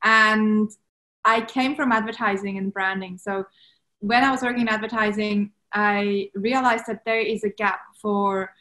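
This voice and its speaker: A woman in her late thirties from Switzerland with a Swiss accent, chatting as a guest over a video call from a home office, lively and quick to laugh. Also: medium pitch. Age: 30s. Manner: lively, laughs often, restarts sentences. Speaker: guest entrepreneur. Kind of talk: casual interview chat. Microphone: video call webcam.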